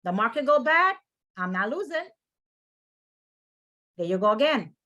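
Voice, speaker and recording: A woman's voice: a little high, very animated, unhurried, sharp tone. A middle-aged woman with a Guyanese accent giving an informal sales presentation, driving points home with emphatic repetition. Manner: emphatic, repeats key phrases. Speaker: financial presenter.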